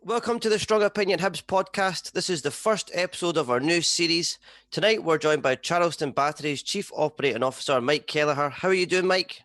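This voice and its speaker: A man's voice: fairly high pitch, a little fast, fairly bright tone, faint music behind. A man with a Scottish accent hosting a podcast, asking questions in a casual interview style. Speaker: podcast host.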